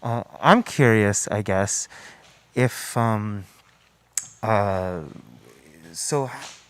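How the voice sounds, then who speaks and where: A man's voice: lowish voice, very slow, smooth. A man hosting and moderating a post-show Q&A, asking questions in a hesitant, friendly way. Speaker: festival host and Q&A moderator. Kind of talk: moderating a live Q&A.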